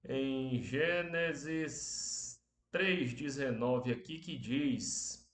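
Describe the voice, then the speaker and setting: A Brazilian man lectures slowly over a video call, keeping his voice low because he has a cold and a sore throat. His voice sits in an ordinary middle pitch, and he often ends with tag questions. The sound carries a roomy echo. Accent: Brazilian Portuguese. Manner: speaks softly, slow and unhurried, many tag questions. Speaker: teacher. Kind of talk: online class lecture.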